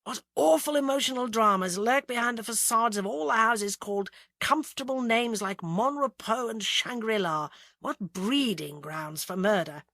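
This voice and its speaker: A woman voicing a polite, composed, teasing upper-class ex-military man in an audiobook, with measured delivery. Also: a little high, fairly bright tone, dry recording, clean studio sound, voice pushed up. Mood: calm, reflective. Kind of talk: audiobook character acting.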